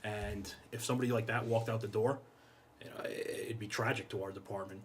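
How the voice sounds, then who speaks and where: A man's voice: fairly low pitch, fairly even intonation, a little fast. A middle-aged American man speaking casually and earnestly as an interview guest, repeating phrases for emphasis. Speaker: guest, fire chief. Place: podcast studio, indoors. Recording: clear background noise, room ambience.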